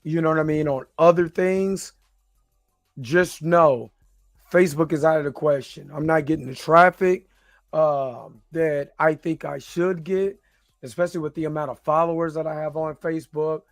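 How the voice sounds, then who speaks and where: A man with a slightly hoarse voice hosting a livestream, talking casually in an informal, rambling, hesitant way. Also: a little high, fairly even intonation, moderate pace, dry recording, studio quality. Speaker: host of a livestream reaction show.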